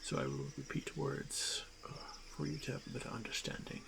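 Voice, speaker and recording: A stoic orc warrior, acted by a man in a fantasy ASMR roleplay, honor-bound and gently protective. His voice is very creaky and breathy, delivered in formal, halting phrases with frequent pauses. Clear night noise of crickets and insects sits behind him. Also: mid-range voice, sing-song. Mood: apologetic, gentle. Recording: noisy track with night insect ambience. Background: crickets and insect ambience.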